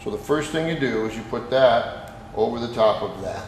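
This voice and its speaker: A man with a mid-pitched voice walks through a hands-on technical demonstration. His instructions are plain-spoken and go step by step. The sound is echoey with long reverb. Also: expressive, moderate pace. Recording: very echoey, reverberant room with background noise.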